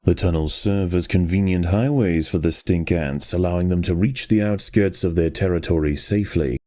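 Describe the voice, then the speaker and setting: Male game narrator, very low and dark-toned, solemn nature documentary read, measured and dramatic. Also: dry recording, clean studio sound.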